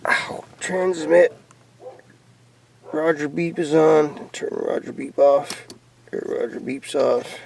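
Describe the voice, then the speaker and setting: An American man with a slightly high, creaky voice gives a casual show-and-tell of his gear. He rambles along in a plainspoken, laid-back way. There is faint noise behind him, with some clicks, as on a handheld camera. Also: takes it slowly, long pauses, darkish tone. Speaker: hobbyist vlogger showing off CB radio gear. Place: in or around a pickup truck.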